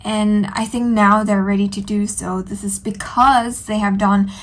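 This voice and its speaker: A woman sits with her cards and talks through a reading in an unhurried, earnest way. Her voice is a touch nasal and stuffy from a cold, set at an ordinary middle pitch. She fills the pauses with soft ums and keeps coming back to how she feels about the cards. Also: steady tone. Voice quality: slightly nasal. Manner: gentle, earnest, with frequent ums and "I feel like". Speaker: tarot reader. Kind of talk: solo tarot reading to camera.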